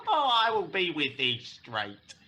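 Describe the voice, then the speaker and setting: A man's voice: a little high, huge pitch swings, slow pace, pushed and bright, exaggerated voice. A man acting an angry, weary young lover in a Shakespearean radio play, declaiming verse in broken phrases with sighs. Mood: defiant.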